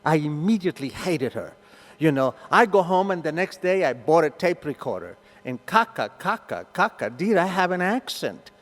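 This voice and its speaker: An older man with a thick Argentine Spanish accent tells his story to a meeting, his pitch sitting a little high and leaping around as he acts out the voices. His voice carries through a reverberant hall, the sound ringing on after each phrase. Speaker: recovery meeting speaker.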